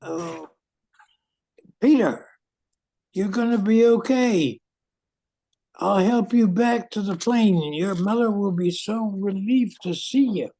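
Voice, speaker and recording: Amateur actor as park ranger, earnest, kindly, unhurried pace, radio drama. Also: medium pitch, warm tone, poor audio. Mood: reassuring.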